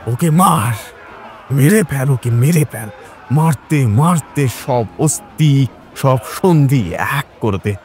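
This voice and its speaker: A man playing a stern, vengeful uncle in an audio horror story, giving commands with deliberate force. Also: medium pitch, animated, a little mellow, slight background noise. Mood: furious orders.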